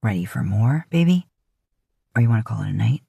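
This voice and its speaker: A woman acts out a cocky young lover in a romance audiobook. The voice drops low and a little husky, with a teasing, tender drawl. The recording is close and dry. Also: expressive, brisk, warm tone, no room sound, heavily acted. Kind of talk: romantic fan fiction audiobook dialogue.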